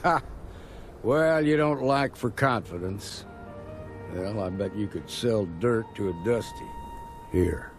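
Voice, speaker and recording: A hearty, plain-spoken frontier marshal in a space-western video game. His voice sits a little low, and he speaks in a folksy, approving way over background music. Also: slow, pauses often. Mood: amused, approving.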